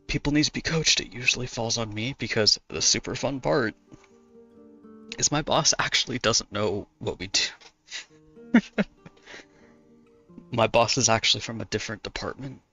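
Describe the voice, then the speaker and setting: A man with a hoarse, gravelly voice chats casually as he draws on a stream. His tone is fairly low but bright and forceful. He rambles, restarts sentences and laughs between thoughts, with faint music behind him. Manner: rambling, self-correcting, laughs often. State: hoarse from talking a lot. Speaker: artist streaming while drawing. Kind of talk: casual chat answering viewers.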